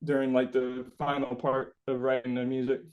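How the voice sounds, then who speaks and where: A man being interviewed as a musician, answering casually and haltingly, thinking aloud and often saying "like". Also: medium pitch, monotone, phone-quality sound.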